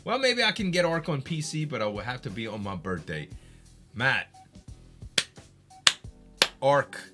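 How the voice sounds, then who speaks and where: A man chatting casually on a live game stream, excitable and swearing, hyping games and laughing often. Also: mid-range voice, a little slow, music in the background.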